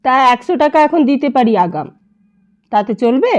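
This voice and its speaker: Woman playing an insistent bargaining man, high voice. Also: sing-song, normal speed, a little mellow. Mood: businesslike. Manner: insistent, grudgingly agreeable. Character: impatient, bargaining man in a hurry to get help.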